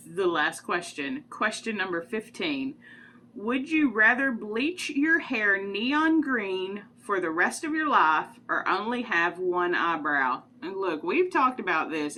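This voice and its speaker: A woman's voice: mid-range voice, bright, forceful tone, slight background noise. A woman, chatting casually and rambling through would-you-rather questions with frequent giggles. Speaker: vlogger.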